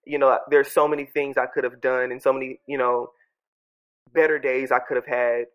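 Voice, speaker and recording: A congested man journaling aloud at home into his microphone, speaking softly and earnestly, circling back and restarting his sentences as he reflects. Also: medium pitch, flat delivery, moderate pace, clear, resonant tone, low-quality recording. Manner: soft, earnest, musing with many restarts. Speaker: podcast host journaling aloud. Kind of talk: reflective personal audio journal. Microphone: own studio microphone.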